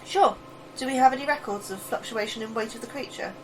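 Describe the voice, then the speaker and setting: An actress playing an anxious, curious scientist in a sci-fi audio drama, earnest, awkward and a little hesitant. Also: female voice, on the high side, fast, a little hiss or hum, natural voice, rough recording. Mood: awkward, friendly. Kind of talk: audio fiction acting.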